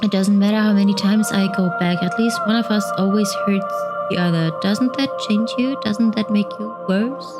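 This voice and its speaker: A woman's voice: medium pitch, normal speed, hardly any pauses. A woman with a German accent, a native German speaker, hosting a let's play, reading game text aloud and commenting casually. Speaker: gaming video host. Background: game music.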